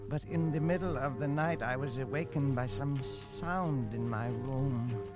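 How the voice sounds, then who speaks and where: A man's voice: medium pitch, unhurried, dull audio, music in the background, natural voice. An actor playing a shaken colonial man who narrates his own story in a 1940s radio drama. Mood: narrating. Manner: tense, shaken, clipped. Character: nervous English colonial man, haunted.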